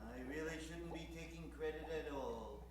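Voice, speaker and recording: Man playing a high-voiced, stuffy disgraced scientist. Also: moderate pace, noisy background, close to an everyday voice. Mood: weary, resigned. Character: disgraced, weary male scientist adventurer, pompous. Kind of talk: improvised old-time radio comedy acting. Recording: very echoey, distant, loud music behind.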